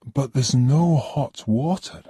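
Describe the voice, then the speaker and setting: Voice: mid-range voice, slow, mellow, husky, no echo, clean studio sound, pitched above the performer's own voice. A storybook reader voicing a polite, apologetic castle owner.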